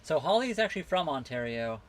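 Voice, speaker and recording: A man from western Canada, hosting a casual live Q&A from his sailboat, reading out viewer comments and answering them in a relaxed, rambling way. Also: on the high side, fast. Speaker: livestream host answering viewer questions. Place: on a sailboat at a marina.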